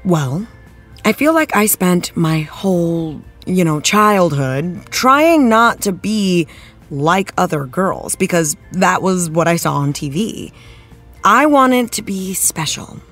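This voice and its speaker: An earnest young-spirited TV actress in an interview, thoughtful and searching for words. She takes her time, with very animated intonation. Faint music plays behind her. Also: lowish voice, slow, exaggerated voice. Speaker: interviewed actress, after-show guest. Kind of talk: conversational interview.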